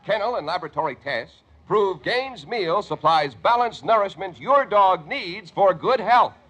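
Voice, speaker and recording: An American man giving a folksy, emphatic advertising pitch in 1950s radio style. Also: animated, a little slow, a bit bright. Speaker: commercial announcer. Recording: very noisy, clicking vintage radio recording.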